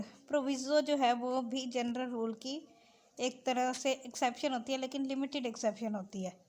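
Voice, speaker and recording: A woman with a Pakistani accent giving an explanatory lesson as a law lecturer, switching between two languages and slowing down to read out clauses. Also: on the high side, a little mellow. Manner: switches between Urdu-Hindi and English, slow when reading out clauses. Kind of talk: explanatory lesson, reading out and explaining legal text.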